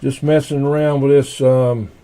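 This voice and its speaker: A man with a soft, mellow voice chats casually as a livestream host. He rambles along without hurry, often muttering half to himself. His tone is relaxed and dark, at an ordinary male pitch. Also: moderate pace, clean tone.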